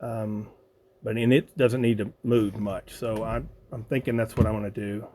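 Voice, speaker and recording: Halting American man thinking aloud. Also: on the low side, little pitch movement, warm tone. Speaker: hobbyist video host. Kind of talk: casual unscripted project walkthrough.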